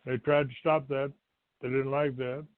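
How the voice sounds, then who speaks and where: An older American man from Texas hosting a talk show, giving a rambling, halting monologue to his listeners. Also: mid-range voice, flat delivery. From Texas, United States. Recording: roomy, tinny phone-like line.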